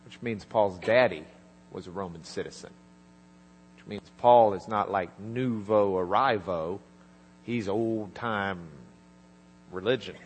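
A man preaching a sermon, retelling a scripture story in a conversational way and quietly acting out the lines of its people. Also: on the low side, slow, pauses often, breathy edge. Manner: conversational, acts out dialogue in quiet asides. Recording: reverberant hall with mains hum.

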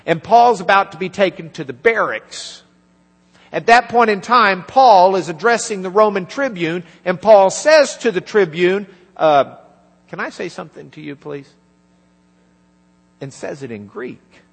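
A man preaching a sermon, retelling a scripture story in a conversational way and quietly acting out the lines of its people. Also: high-pitched, expressive, measured pace, gravelly, husky. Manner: conversational, acts out dialogue in quiet asides. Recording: reverberant hall with mains hum.

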